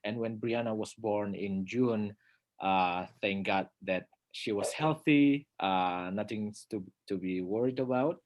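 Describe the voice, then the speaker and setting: Indonesian-accented man, halting with fillers. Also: fairly low pitch. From Indonesia, North Sumatra. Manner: hesitant, many "uh" fillers, soft laughs. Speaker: pastor giving a presentation. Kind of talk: informal lecture with slides.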